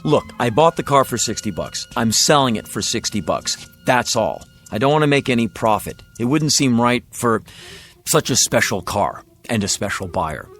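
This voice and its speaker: A man's voice: medium pitch, very animated, moderate pace, fairly bright tone, faint music behind. A man with an American accent playing a slangy, insistent used car salesman in a radio drama. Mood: earnest, persuasive. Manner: slangy, emphatic, salesman patter.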